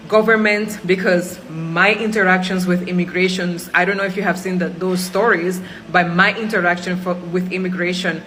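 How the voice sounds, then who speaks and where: A woman's voice: lowish voice, a bit bright, a little hiss or hum, poor audio. Cuban woman with Jamaican-Spanish accent, earnest. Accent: Cuban Spanish mixed with Jamaican Patois. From Cuba. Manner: earnest, pleading, repeats phrases for emphasis. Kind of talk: personal monologue to camera.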